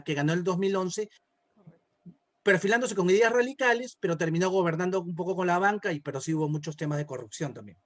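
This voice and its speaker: High-pitched Peruvian man giving political commentary. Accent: Peruvian Spanish. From Peru. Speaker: political consultant, interview guest.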